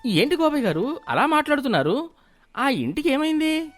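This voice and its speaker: A man voicing an excitable, gullible village merchant in a cartoon moral story, exclaiming in an exaggerated way. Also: extremely high pitch, normal speed, faint music behind, heavily acted. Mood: frightened.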